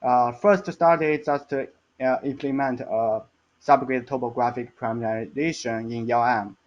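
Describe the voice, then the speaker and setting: A man giving a technical slide presentation as a research scientist, halting and hesitant, with frequent stumbles and repeats. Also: medium pitch, steady tone, dull audio.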